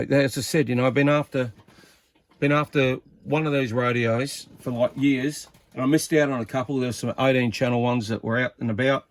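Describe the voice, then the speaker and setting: A man's voice: mid-range voice, darkish tone, dry recording. A man giving a casual hands-on demonstration as a radio hobbyist, rambling informally and thinking aloud as he goes.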